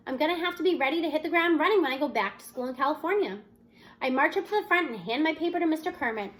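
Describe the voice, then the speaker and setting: A woman's voice: sits high, expressive, very fast, fairly bright tone. A woman reading a children's novel aloud expressively, narrating as a student in the first person. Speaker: audiobook-style reader.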